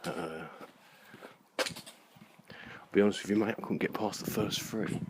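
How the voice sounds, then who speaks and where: A man's voice: lowish voice, slow pace. A man chatting casually and ramblingly to camera, with informal muttered asides, as he walks outdoors. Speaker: vlogger. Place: outdoors in a park at night, walking. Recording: noisy outdoor handheld camera recording.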